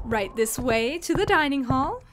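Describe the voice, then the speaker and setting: A woman's voice: sits high, animated, unhurried, background noise. A woman playing a chirpy fiend, sweetly polite and menacing, in a fantasy audio drama. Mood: sweetly pleased. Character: sugary, chirpy female fiend serving an evil baron.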